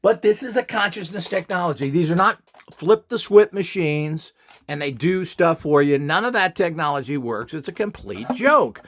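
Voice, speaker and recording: A man with a fairly high voice speaks in a very animated way, swinging widely in pitch. He lectures informally and with strong opinions, blunt and scornful, leaning hard on his points. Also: moderate pace. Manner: rhetorical questions, mimicked quotes. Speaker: presenter and seller of radionics devices.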